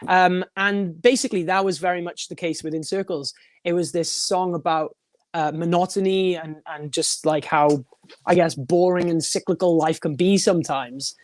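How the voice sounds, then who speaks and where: A young man with a high voice, a band singer talking in a casual podcast interview, rambling with many restarts and little laughs. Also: steady tone, moderate pace, no echo. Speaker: guest, band frontman and vocalist.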